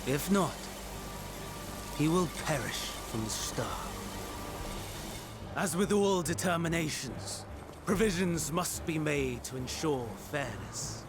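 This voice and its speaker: A fairly high male voice for a grave, troubled overseer in a fantasy game. He speaks slowly and formally, with deliberate pauses and cold resolve, as music plays behind him. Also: lively intonation. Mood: solemn, resolute. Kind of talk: dramatic video game acting.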